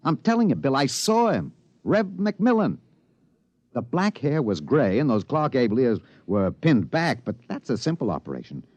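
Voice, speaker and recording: A man playing an excited, obsessed radio reporter with an American accent, stammering and emphatic in 1950s radio drama style. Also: mid-range voice, moderate pace, dry recording. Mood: urgent, insistent. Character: excited radio newsman, agitated, obsessed with breaking a cover-up. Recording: dull vintage radio recording.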